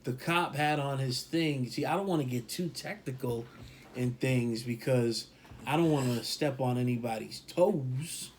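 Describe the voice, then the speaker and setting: A man's voice: medium pitch, a little slow, slight background noise. Emphatic, rambling New man. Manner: rambling, emphatic, repeats himself for stress. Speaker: podcast co-host. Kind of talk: casual opinion talk.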